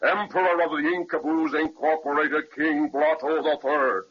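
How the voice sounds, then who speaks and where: An officious paper soldier captain. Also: male voice, a little high, fairly even intonation, normal speed, slightly rough. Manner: officious, barking orders. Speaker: captain of the guards. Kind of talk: 1930s radio drama acting. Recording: dull, lo-fi old radio recording with faint hiss.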